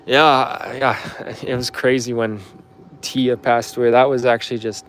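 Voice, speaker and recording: A man's voice: mid-range voice, flat delivery, measured pace, fairly bright tone, a little hiss or hum. A man in his twenties chatting casually on a livestream, answering viewer questions in a rambling, offhand way full of fillers. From Canada. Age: 20s. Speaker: livestream host.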